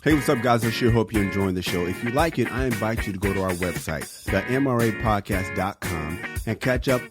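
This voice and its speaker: A man's voice: fairly low pitch, lively intonation, fairly quick, over music. A man co-hosting a podcast, chatting casually in slang and reading out listener mail. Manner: laid-back, slangy, reads listener mail. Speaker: podcast co-host.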